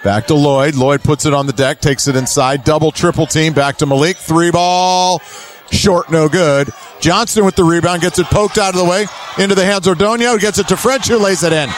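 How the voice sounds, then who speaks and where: Guy doing basketball play by play, voice a bit high, short clipped phrases, punchy calls. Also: moderate pace. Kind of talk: live sports commentary. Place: courtside at an indoor basketball game.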